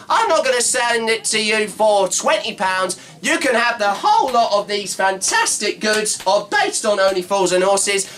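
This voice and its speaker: A man's voice: sits high, sharp tone, heavily acted. A man playing a brash male Cockney market trader with pushy sales patter who keeps saying "of course". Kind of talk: comedy sketch character monologue.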